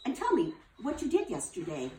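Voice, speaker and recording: A woman voicing a calm, knowing female school nurse with a measured, matter-of-fact manner. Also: medium pitch, far from the mic, slight background noise. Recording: roomy, from off the mic.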